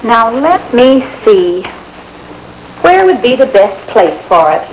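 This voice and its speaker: An actress playing an easily offended, haughty housewife who complains indignantly in an old training film. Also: female voice, a little high, very animated, takes it slowly, a little mellow. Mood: pleased, chatty. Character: huffy, easily offended housewife, new in town. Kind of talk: vintage training film acting. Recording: noisy old film soundtrack.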